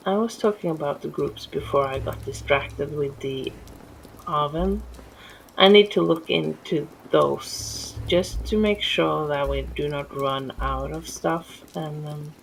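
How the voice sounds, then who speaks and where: Slow, gravelly Norwegian woman gaming. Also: deep voice, a little hiss or hum, poor audio. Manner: rambling, easily distracted, many restarts. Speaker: gameplay streamer. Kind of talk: casual let's-play commentary. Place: home.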